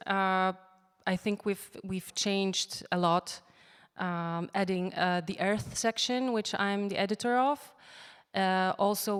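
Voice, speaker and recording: A woman speaks slowly and hesitantly as an editor on a festival panel clear in her English. Her voice is very clean and smooth. It rings out with the long reverb of a large hall. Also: mid-range voice, a bit bright. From Poland. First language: Polish. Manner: halting, heavy with fillers. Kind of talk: panel discussion remarks. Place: festival debate venue.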